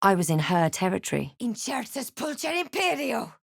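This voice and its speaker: A woman's voice: fairly high pitch, big pitch swings, moderate pace, vocal fry, breathy edge, no room sound, studio quality, heavily acted. An actress playing a snarky young female adventurer hero in a fantasy video game, delivering scripted lines. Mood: commanding, incanting.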